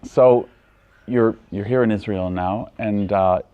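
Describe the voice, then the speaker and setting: A man working as a journalist interviewer, asking questions in a halting way with many fillers. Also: fairly low pitch, clean tone, no room sound, phone-quality sound.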